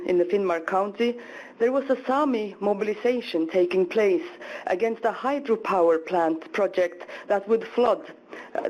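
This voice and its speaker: Norwegian-accented woman giving a measured panel talk. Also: medium pitch, warm tone. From northern Norway. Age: 40s. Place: conference hall. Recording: dull lo-fi conference recording.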